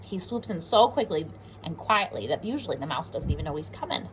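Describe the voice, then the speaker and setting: A woman's voice: sits low, expressive, brisk, slight background noise, rough recording. A woman with an American accent reading a picture book aloud to young children, explaining simply and asking them questions as a pre-K teacher.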